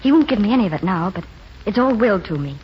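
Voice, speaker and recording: An actress playing an earnest, plainspoken, yearning young waitress in a 1940s radio drama. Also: female voice, medium pitch, big pitch swings, very fast, a little hiss or hum, lightly acted. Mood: eager, pleading. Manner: frank, plainspoken, eager. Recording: dull 1940s radio broadcast with music.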